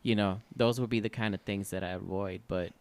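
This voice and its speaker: A man in his forties co-hosting a casual podcast chat. His voice sits low, with a gravelly edge. He talks expressively and breaks into laughter often. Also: fairly even intonation, a little fast. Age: 40s. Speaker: podcast co-host.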